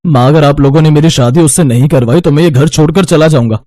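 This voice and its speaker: Earnest young rich suitor, played by a man, devoted and reassuring, cartoon romance, fairly high, mellow voice. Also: fast talker, no room sound, lightly acted. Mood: earnest, resolved.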